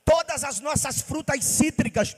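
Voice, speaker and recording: A male pastor from Bahia, his voice pitched very high as he preaches in a rising, sing-song cadence and hurls rhetorical questions at his listeners. His sermon rings out with a long reverb, as if in a large church hall. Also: a little slow. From Bahia, Brazil.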